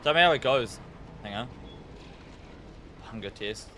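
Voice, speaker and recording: A male game streamer with a New Zealand accent and a bright, forceful voice of middling pitch. He talks in a loose, informal, rambling way with plenty of swearing. A faint layer of noise sits behind him. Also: animated, measured pace. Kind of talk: casual livestream chat while gaming.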